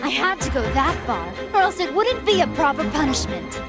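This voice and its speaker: A woman's voice: sits high, big pitch swings, moderate pace. A woman playing a scheming, cruel and haughty female android in an anime game, with a theatrical, taunting delivery. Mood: cruel gloating. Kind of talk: dubbed anime game acting. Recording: loud music behind the voice.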